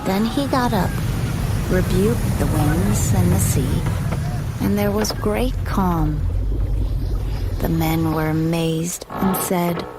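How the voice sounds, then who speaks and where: A woman giving expressive, measured scripted scripture narration for an audio drama. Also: fairly low pitch, very noisy.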